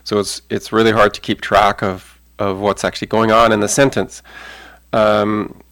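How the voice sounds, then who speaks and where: Man, low voice, careful explainer with many "uh"s, lecture delivery. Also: level tone, fairly bright tone. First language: not Italian. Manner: measured, explanatory, with frequent fillers. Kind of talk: spoken talk.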